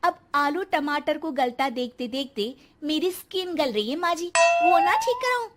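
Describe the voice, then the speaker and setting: A woman's voice: high voice, sing-song, measured pace, heavy background noise. A woman voicing a snobbish young rich daughter-in-law, haughty and whining, in a cartoon story.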